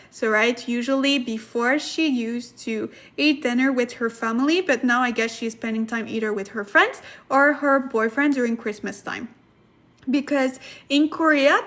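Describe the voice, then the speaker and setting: High-voiced woman hosting a chatty live lesson. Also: a bit bright. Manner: chatty, laughs often, many fillers. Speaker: Korean language teacher, livestream host. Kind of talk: casual livestream lesson, reading chat comments.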